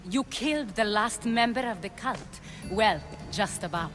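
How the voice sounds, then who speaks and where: A woman's voice: mid-range voice, expressive, unhurried, over music, close to an everyday voice. A woman playing a poised, calculating former cult leader in a video game cutscene, composed and persuasive. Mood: calm, confessing.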